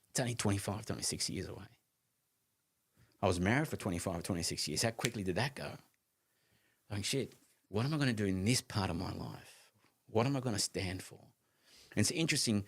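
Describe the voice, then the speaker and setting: A man in his late fifties co-hosting a casual conversation, talking in an animated, emphatic way with frequent restarts and the odd casual swear word. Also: fairly low pitch. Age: 50s. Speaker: co-host of a live conversation show.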